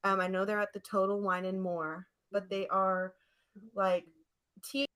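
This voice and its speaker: A woman's voice: medium pitch, flat delivery, unhurried, a bit bright, smooth. A woman co-hosting a podcast in casual chat, effusive and giggly in her delivery. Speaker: podcast co-host. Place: remote internet call.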